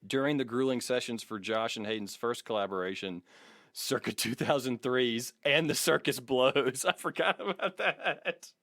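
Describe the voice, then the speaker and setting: A man's voice: medium pitch, normal speed, fairly bright tone, no room sound, clean studio sound. An American man co-hosting a comedy podcast, reading a biography with mock grandeur, swearing and slipping into casual banter. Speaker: podcast co-host.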